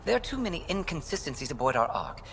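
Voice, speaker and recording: A retired alien special-forces major for a video game. The voice is creaky and swings widely in pitch, with a dry, frank, shrewd manner and faint noise behind it. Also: male voice, sing-song, fast talker, fairly bright tone, full character voice. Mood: frank, intent. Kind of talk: video game character dialogue.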